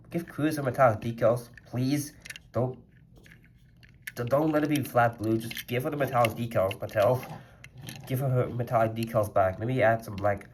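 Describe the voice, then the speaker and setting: A man's voice: mid-range voice, a little mellow, a little hiss or hum. A man reviewing a custom toy car in a casual vlog, rambling and chatty with a slightly congested voice. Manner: rambling and chatty, lots of "like" fillers.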